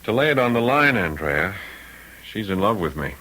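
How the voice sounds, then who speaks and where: An actor playing a shrewd, dogged lawyer in an old-time radio mystery, blunt and coolly insistent. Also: male voice, lowish voice, very animated, moderate pace, sharp tone, full character voice, poor audio. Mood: frank, urgent. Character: shrewd, dogged male lawyer investigating a crime. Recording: radio drama recording.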